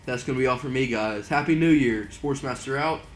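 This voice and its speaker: A young man doing excited mock broadcast commentary over a football video game, saying he is losing his voice. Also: medium pitch, brisk. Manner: excitable play-by-play, rising to near-shouts on big plays. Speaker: gaming channel host doing play-by-play.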